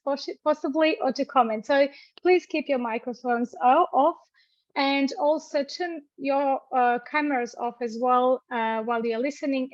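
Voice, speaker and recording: Casting: higher-voiced woman, lecturer hosting an online session, friendly but halting, dry muffled call audio. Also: no echo. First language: Croatian. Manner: friendly, halting, with many restarts and fillers. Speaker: university lecturer hosting a webinar. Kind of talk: webinar housekeeping and introductions. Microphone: video call.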